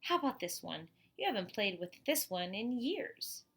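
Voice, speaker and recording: A woman's voice: medium pitch, very animated, moderate pace, a bit bright, slight background noise. Woman acting a firm, exasperated storybook mother. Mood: coaxing.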